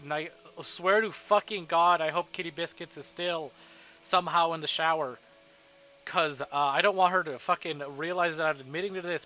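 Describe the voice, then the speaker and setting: A man reading a visual novel aloud on a live stream, with casual, excitable, foul-mouthed reactions. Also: high voice, muffled recording.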